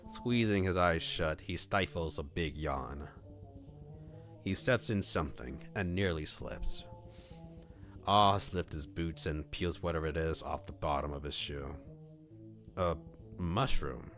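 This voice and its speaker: Deep-voiced man streaming a story read-aloud. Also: a little slow, long pauses, fairly bright tone. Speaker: streamer reading a visual novel aloud. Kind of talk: expressive story narration with casual live commentary. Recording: music under the voice. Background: background music.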